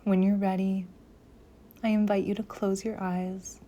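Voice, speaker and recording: A woman leading a guided meditation in a soft, gentle, soothing voice, with phrases often trailing into near-whispers. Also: medium pitch, little pitch movement, unhurried, lo-fi sound.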